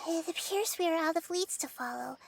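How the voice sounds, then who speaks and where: A woman playing a wise, childlike young goddess in a video game, gentle, composed and thoughtful. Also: very high voice, moderate pace, vocal fry. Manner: thoughtful, reassuring, explanatory. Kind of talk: scripted game dialogue.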